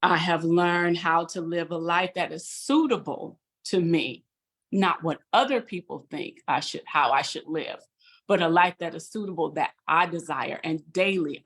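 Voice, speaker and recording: A woman gives a personal testimony over a video call, speaking earnestly and pausing often with fillers. Her voice sits on the lower side. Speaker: guest.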